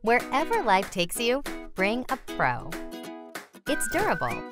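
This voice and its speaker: Animated woman reading promo voiceover. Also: on the high side, unhurried, music in the background. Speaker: voiceover artist. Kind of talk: scripted promo and product commercial narration.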